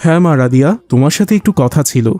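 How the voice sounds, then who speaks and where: A man voicing a gentle, reasonable middle-aged father in an Islamic cartoon, explaining things patiently and affectionately. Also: mid-range voice, lively intonation, quick pace, lightly acted.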